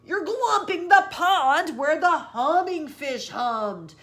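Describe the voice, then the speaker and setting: A woman's voice: high-pitched, lively intonation, slow, fairly bright tone, pitched above the performer's own voice, exaggerated voice. Woman voicing a grumpy, scolding storybook forest creature. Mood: mournful scolding. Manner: indignant, emphatic rhyming scolding. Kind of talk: children's storybook character reading.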